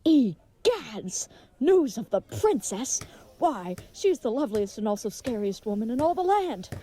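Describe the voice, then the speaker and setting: A woman's voice: a little high, big pitch swings, unhurried, mellow. Woman playing a gallant, pompous, earnest leathersmith knight. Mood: excited. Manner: pompous, flowery old-fashioned speech. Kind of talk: comedic audio drama acting.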